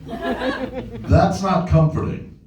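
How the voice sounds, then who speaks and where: Man playing nervous awkward guy, slow and halting. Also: high-pitched, animated, a crowd in the background, full character voice. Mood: nervous politeness. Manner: halting, tactless, apologetic. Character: nervous, awkward, lonely man desperate to please. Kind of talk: comedy screenplay table read. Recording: roomy, distant mic at live event.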